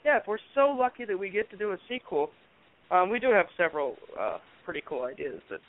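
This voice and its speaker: A film director from Colorado, talking casually and joking in an interview with plenty of fillers. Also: male voice, high voice, very animated, fast. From Colorado, USA. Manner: joking, talkative, thinks aloud with fillers. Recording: tinny phone line.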